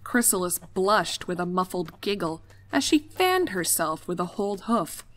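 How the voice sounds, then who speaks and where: A woman's voice: on the low side, expressive, unhurried, fairly bright tone. A young adult woman reading scripted story narration as the narrator of a fan fiction.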